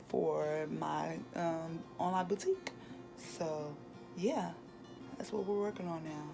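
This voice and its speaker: A woman in her late twenties with a raspy voice, chatting candidly to her viewers in a casual morning vlog. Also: fairly low pitch. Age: late 20s. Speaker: vlogger. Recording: music playing behind.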